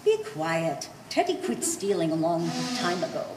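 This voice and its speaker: A woman's voice: lowish voice, sing-song, unhurried. A woman playing a devoted immigrant mother in a stage play, speaking terse broken English. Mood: firm, insistent. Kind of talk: live one-act stage play. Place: art gallery performance space. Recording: echoey room from afar, faint noise.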